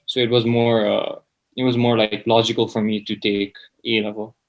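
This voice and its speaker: A man's voice: lowish voice, flat delivery, moderate pace. Casual young man, hesitant and informal. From Nepal. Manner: hesitant, informal, peppers speech with "like". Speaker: student guest. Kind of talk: casual interview chat.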